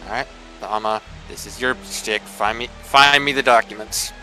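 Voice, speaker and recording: A man's voice: lowish voice, normal speed, bright, forceful tone. A man playing a tabletop game, speaking in casual in-character banter. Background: background music.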